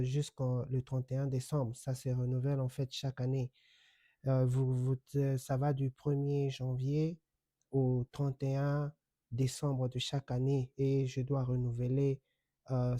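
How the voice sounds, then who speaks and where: Middle-aged Congolese-born man, Kikongo native, very dark mellow tone, measured and halting commentary, dry close mic. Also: mid-range voice, no room sound. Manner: measured, explanatory, with frequent hesitations. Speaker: online commentator and accredited journalist. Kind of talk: direct-to-camera commentary. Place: home in the United States.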